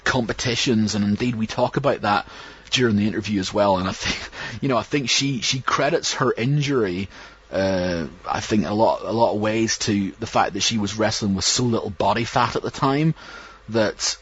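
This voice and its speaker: A man's voice: fairly low pitch, moderate pace, a bit bright, husky. A man hosting a podcast, introducing an interview in a loose, rambling way. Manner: rambling, with many restarts and fillers. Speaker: podcast host. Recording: dull sound.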